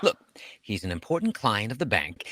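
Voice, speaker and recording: A man's voice: fairly low pitch, very animated, normal speed, pushed and bright, heavily acted. A man playing an exasperated, wisecracking banker husband in an old-time radio sitcom, fussy, emphatic and dryly sarcastic. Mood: sheepish.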